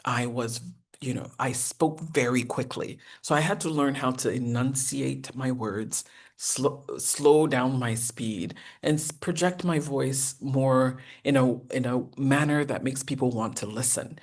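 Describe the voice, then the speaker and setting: A woman, teaching a professional etiquette masterclass in an earnest, encouraging way with deliberate enunciation. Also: darkish tone. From United States. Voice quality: deep voice. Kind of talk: masterclass lecture.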